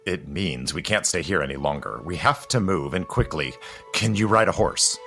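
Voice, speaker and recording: Man acting Jesse, a deep, intense, guarded gentleman. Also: animated, soft background music. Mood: urgent, protective. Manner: stern, intense, dramatic pauses. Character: Jesse, guarded, urgent gentleman with secret knowledge, protective. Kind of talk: audio drama acting.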